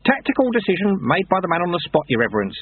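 A man voicing an ancient, calm monk teacher who speaks with dry, patient irony. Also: high-pitched, fast, slightly rough, no echo, voice pushed up, heavily acted. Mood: calm wry. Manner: dry, patient, gently ironic. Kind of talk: audiobook character reading. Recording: dry, tinny phone-like sound.

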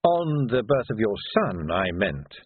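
Casting: male narrator as measured, ironic aristocrat ruling a fantasy city. Formal, understated, lilting delivery for audiobook. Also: on the low side, very animated, husky, dry recording, narrow, tinny audio, exaggerated voice. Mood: dry, composed. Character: composed, coolly formal, subtly ironic aristocratic male ruler.

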